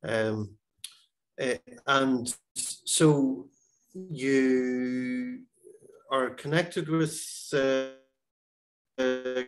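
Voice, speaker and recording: A middle-aged man hosting an interview over a video call, warmly hesitant with many pauses and restarts. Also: mid-range voice, poor audio. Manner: halting, many fillers and restarts, encouraging. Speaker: host. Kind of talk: interview host. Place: home room.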